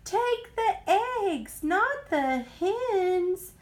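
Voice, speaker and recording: A woman voicing an excitable female hen keeper who exclaims in drawn-out, sing-song cries for a picture book. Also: extremely high pitch, pitched above the performer's own voice, exaggerated voice. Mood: emphatic, alarmed.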